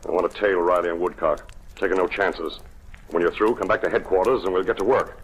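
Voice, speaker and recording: A low-voiced, commanding police chief played by a male actor in a vintage radio drama. He is brusque and sarcastic, quick to bark orders at his agents. The recording is old and faintly noisy. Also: fairly even intonation, close to an everyday voice. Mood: decisive. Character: stern, exasperated male chief of detectives. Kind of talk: 1940s radio drama acting.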